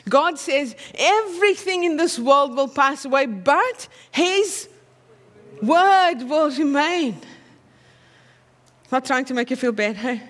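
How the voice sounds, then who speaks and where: High-voiced Afrikaans-accented woman preaching. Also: animated, slow pace, in a large hall. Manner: conversational, checks in with the audience with tag questions. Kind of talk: sermon.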